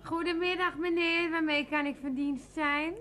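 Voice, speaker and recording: A woman's voice: sits high, steady tone, normal speed, a bit bright. An actress playing an overeager, intrusive saleswoman, gushing and formally polite as she over-explains in a comic radio play. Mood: gushing, eager. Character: overeager, intrusive, chatty department-store saleswoman.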